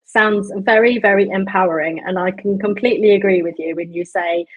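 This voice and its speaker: Husky-voiced woman podcast host. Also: mid-range voice, fairly even intonation, brisk, dry recording, phone-quality sound. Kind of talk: casual interview chat.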